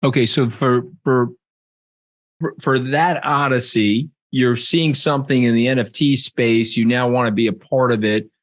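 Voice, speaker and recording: A man's voice: mid-range voice, fairly even intonation, normal speed. Stumbling, eager male interviewer. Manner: enthusiastic, stumbling restarts. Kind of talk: interview questions.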